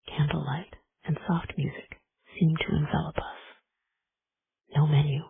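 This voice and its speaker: A woman narrating a first-person romance audiobook in a hushed, intimate whisper. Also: sits low, level tone, normal speed, creaky, breathy edge, no echo. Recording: tinny phone-like recording.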